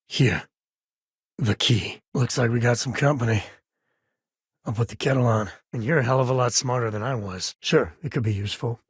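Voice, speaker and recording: An actor playing an easygoing, wry spacefaring cowboy and devoted father in a video game, with a husky voice and folksy, drawling, dryly sarcastic phrasing. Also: medium pitch, moderate pace, no echo, studio quality. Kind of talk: video game character dialogue.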